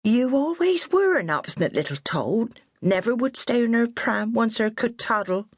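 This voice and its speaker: A bossy old countrywoman with a scolds and fusses in a raised, husky voice, as a woman narrator puts her on. Her speech is blunt and grim, pitched well above the reader's own. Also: very animated, moderate pace, heavily acted. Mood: scolding. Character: stubborn rustic old countrywoman, bossy and fussing.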